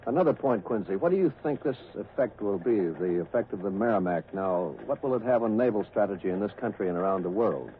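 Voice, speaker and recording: Man as a brisk vintage radio anchor, tinny. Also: fairly low pitch, lively intonation, slight background noise, natural voice. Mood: brisk, inquiring. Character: brisk, formal radio news anchor pressing a correspondent. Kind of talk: 1940s radio newscast.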